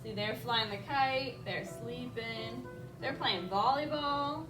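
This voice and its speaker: A woman reading a rhyming picture book aloud as a children's librarian, expressive and speaking directly to young listeners with little asides. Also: fairly high pitch, slow. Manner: expressive, addresses children directly with simple questions. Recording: roomy echo, distant mic, music behind.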